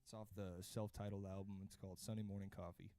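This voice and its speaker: A man's voice: sits low, little pitch movement, fast, warm tone. A young American man from Florida, a singer-songwriter guest, chatting casually into a close studio microphone. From Florida, United States. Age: young adult. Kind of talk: casual chat. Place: indoor radio studio. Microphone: close stand mic, headphones.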